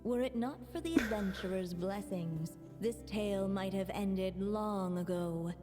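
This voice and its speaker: A woman playing a measured fairy-tale narrator in a video game, solemn and deliberate. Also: medium pitch, mellow, lightly acted. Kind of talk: scripted storybook narration. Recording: game music behind. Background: game music.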